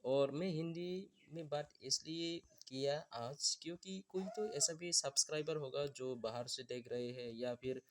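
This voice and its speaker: A man who is not a native Hindi speaker gives a step-by-step beat-making tutorial, talking in a halting, apologetic way. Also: mid-range voice, a little hiss or hum. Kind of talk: music production tutorial. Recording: beats and instrument clips playing loud.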